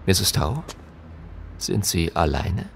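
Patrol policeman, courteous and conversational, animated mid-range voice, clear vehicle noise behind. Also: deep voice, slow pace, full character voice. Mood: concerned. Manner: matter-of-fact, conversational. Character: male patrol policeman, businesslike, puzzled, courteous. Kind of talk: naturalistic German radio drama acting.

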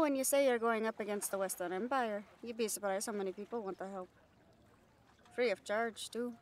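A woman's voice: medium pitch, slight background noise, lightly acted. A woman playing a brash, cheeky catgirl pirate in an audio roleplay, bantering with salty sarcasm. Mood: breezy. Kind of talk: roleplay audio drama acting.

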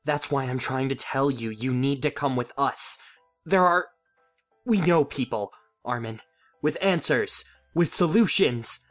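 A man's voice: medium pitch, unhurried, a bit bright, soft background music. A man performing earnest amateur drama lines, breaking off into frustrated asides. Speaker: amateur voice actor in a fan audio drama.

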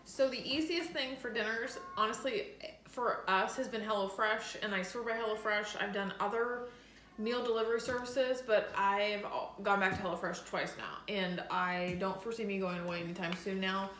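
A woman's voice: mid-range voice, fairly even intonation, normal speed, fairly bright tone. A woman vlogging casually from her home kitchen, chatty and enthusiastic, with plenty of "like" fillers. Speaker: lifestyle vlogger. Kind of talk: casual chatty vlog talk to camera. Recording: echoey room with faint noise.

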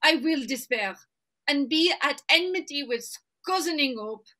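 A woman playing a grieving queen, slow. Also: high voice, lively intonation, sharp tone, full character voice. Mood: despairing. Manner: sorrowful, slow declaiming. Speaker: actor in a staged reading. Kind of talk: Shakespeare reading on video call. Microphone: video call webcam.

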